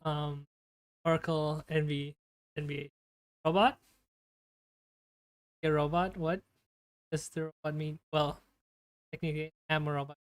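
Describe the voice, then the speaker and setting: A man chats on a live stream in a slightly high, smooth, clear voice. He talks slowly and nervously, tripping over his words and filling gaps with ums. His desktop mic sounds dry and close. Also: flat delivery, dry recording. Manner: nervous, stammering, many fillers. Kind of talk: casual live-stream chat. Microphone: desktop streaming mic with noise gate.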